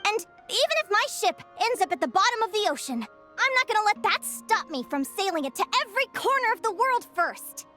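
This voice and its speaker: A woman playing a cheerful, stubbornly optimistic young adventurer in a video game, bubbly, excitable and earnest. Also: very high voice, brisk, faint music behind, full character voice. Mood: earnest, resolute. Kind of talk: animated video game voice acting.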